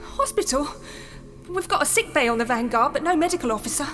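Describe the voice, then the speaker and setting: An actress playing a shocked, wary spaceship crew member asking anxious questions in a science fiction audio drama. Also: female voice, sits high, lively intonation, fast, fairly bright tone. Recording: long reverb, music behind.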